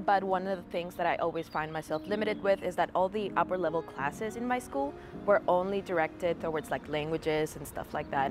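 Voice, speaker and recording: A woman's voice: on the low side, slight background noise. A woman in her twenties from Peru, a student answering interview questions in an earnest, casual way with many fillers. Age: 20s. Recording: music playing behind the voice.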